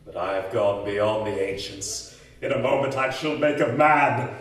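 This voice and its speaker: Guy doing a crazed young scientist in a radio horror play, bright forceful voice, super echoey. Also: medium pitch, unhurried, close to an everyday voice. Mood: grandiose, raving. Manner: theatrical, declaiming, feverishly excited. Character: fanatical young scientist Victor, exultant and grandiose. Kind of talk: old-time radio horror drama acting. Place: live stage show in a community hall. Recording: echoey community hall, far from mic.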